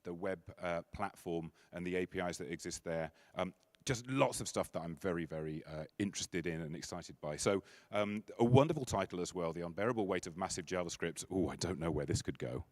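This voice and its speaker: A man's voice: low-pitched, a little fast, dry recording. A man introducing the next speaker at a conference, chatty and self-deprecating, with lots of fillers and restarts. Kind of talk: casual stage introduction. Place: conference stage.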